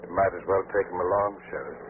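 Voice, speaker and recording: An actor playing a polite, ingratiating gentleman with a formal, courteous manner in 1940s radio drama style. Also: low-pitched, steady tone, fast, lightly acted. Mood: solicitous. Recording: noisy, tinny 1940s radio recording.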